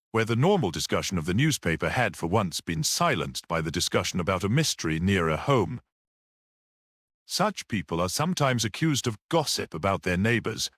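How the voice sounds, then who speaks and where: A man reading a literary short story aloud as an audiobook narrator in an expressive narrating style. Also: sits low, no echo, clean studio sound.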